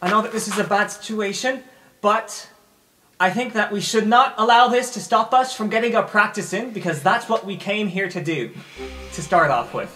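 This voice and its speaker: Man doing Cos, a high-pitched earnest student director. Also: normal speed, a bit bright, a little hiss or hum. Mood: earnest, rallying. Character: Cos, earnest, bossy, upbeat student theatre organiser.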